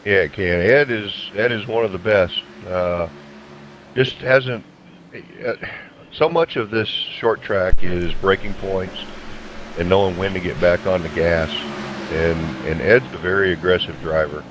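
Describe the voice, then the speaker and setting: A man's voice: sits low, measured pace. A man working as a race color commentator, explaining things in a conversational way during live coverage. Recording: clear droning engine noise behind.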